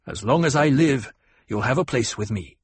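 A man's voice: medium pitch, expressive, normal speed, slightly rough, no echo. Man acting young male outsider, earnest, self-possessed, careful questioning delivery, audiobook fiction. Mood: reassuring.

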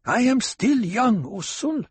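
A man's voice: extremely high pitch, very animated, slow pace, slightly rough, no room sound, voice pushed up, exaggerated voice. A man voicing an anxious, proud tribeswoman who is no longer young, plaintive and emphatic, in an audiobook. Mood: wounded pride.

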